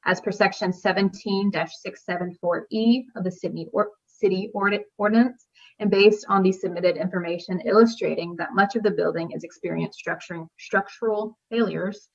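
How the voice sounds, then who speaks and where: A woman on city staff presenting a report to a hearing in a formal, measured way. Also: medium pitch, fairly even intonation, vocal fry, husky, muffled recording.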